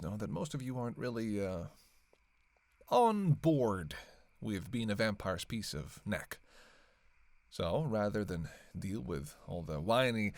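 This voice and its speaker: A man's voice: medium pitch, dry recording. A snarky male vampire, acted by a man in an audio roleplay, talking casually in a mid-range voice. He needles and teases, drawing out his hesitations, and the sound is close and dry. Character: sarcastic, teasing male vampire on a road trip.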